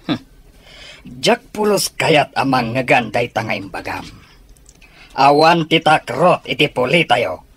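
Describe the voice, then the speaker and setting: An actor playing a stern, proud family patriarch with a husky voice in an Ilocano Filipino radio drama. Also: male voice, medium pitch, very animated, measured pace, pauses often, fairly bright tone, a little hiss or hum.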